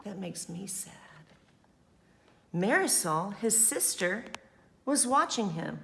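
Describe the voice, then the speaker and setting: Slow, bright-voiced woman reading to children. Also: medium pitch, creaky, slight background noise. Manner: expressive, simple child-directed explanations and questions. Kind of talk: read-aloud storytime with explanatory asides.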